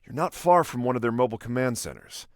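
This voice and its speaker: A male actor plays an American police officer from a video game, a protective big-brother type with a sarcastic edge. His pitch moves around a lot as he cautions and needles. The recording is close and dry. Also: animated, fast talker, no room sound, lightly acted. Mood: cautioning, firm. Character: exasperated protective adult cop, sarcastic older brother.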